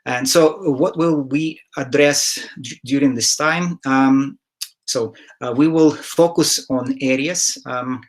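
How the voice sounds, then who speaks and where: A middle-aged man from Ukraine hosting an online session over a headset mic on a video call, speaking haltingly with many filler sounds and the odd throat clearing. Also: mid-range voice. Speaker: interview host. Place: home room, indoors.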